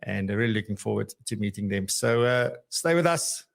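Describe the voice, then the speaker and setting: A man's voice: lowish voice, animated, normal speed, vocal fry. A man hosting a live podcast, chatty and hesitant, full of fillers as he introduces and questions his guests. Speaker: podcast host.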